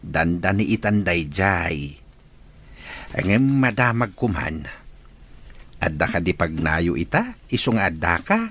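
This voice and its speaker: A homely, welcoming Filipino father in a radio drama, played by a man. His voice is on the low side, with very lively rises and falls. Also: measured pace, long pauses, heavily acted.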